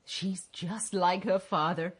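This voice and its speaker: A woman's voice: on the low side, fairly even intonation, takes it slowly, breathy edge. An actress playing a meddling, snobbish female relative in a radio drama, arch and condescending, falsely sweet. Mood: cutting.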